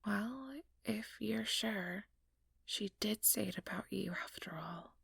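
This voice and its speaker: A woman playing an ambitious, sugary, manipulative vampire in an ASMR roleplay, speaking in a breathy, whispery voice with coaxing, condescending sweetness. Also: medium pitch, steady tone, takes it slowly, a bit bright, no echo, clean studio sound, exaggerated voice. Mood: falsely sympathetic. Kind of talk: ASMR roleplay, soft whispered monologue to listener.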